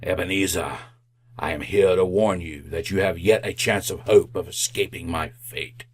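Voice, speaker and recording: A deep, slow-mourning male ghost. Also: fairly even intonation, husky. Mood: grave warning. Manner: declamatory, flowery, solemn. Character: mournful ghost of a dead businessman, grave and remorseful. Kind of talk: old-time radio drama acting.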